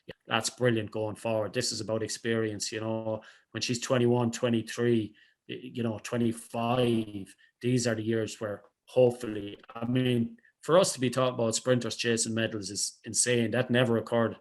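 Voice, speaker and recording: A man giving measured sports analysis as a studio guest, leaning into emphatic points. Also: mid-range voice, level tone. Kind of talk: studio discussion.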